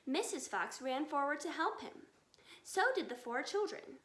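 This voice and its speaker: A woman narrating a children's story aloud in an expressive reading style. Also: high voice, normal speed. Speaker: storybook reader. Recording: echoey, reverberant room.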